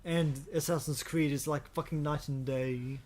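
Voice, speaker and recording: A man co-hosting a casual podcast about movies, talking in halting, hesitant phrases with casual swearing. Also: fairly high pitch, fairly even intonation, a little mellow. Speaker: podcast co-host.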